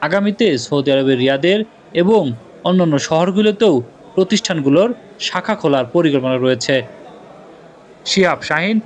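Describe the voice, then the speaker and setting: A man with a Bangladeshi accent reading a scripted news report as a TV correspondent. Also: sits high.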